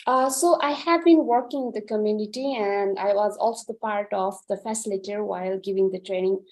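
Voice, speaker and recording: A woman's voice: mid-range voice, brisk, darkish tone. A woman from Nepal answering a question as a speaker in an online webinar, earnest, often repeating and restarting words. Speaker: panel speaker.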